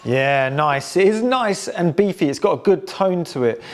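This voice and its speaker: A man's voice: fairly high pitch, expressive, moderate pace, slight background noise. A British man giving a casual, enthusiastic, chatty hands-on demonstration as he presents a restoration project.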